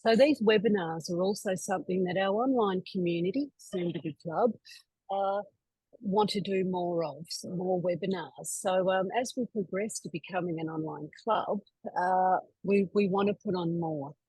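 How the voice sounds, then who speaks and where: A woman with a fairly low, husky voice hosts a webinar over a video call. She talks in a chatty, meandering way, full of "um"s and restarts. The sound is dry and close, with no room echo. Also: normal speed, dark tone. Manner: chatty, with many fillers and restarts. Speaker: webinar host. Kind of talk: hosting an online members' webinar.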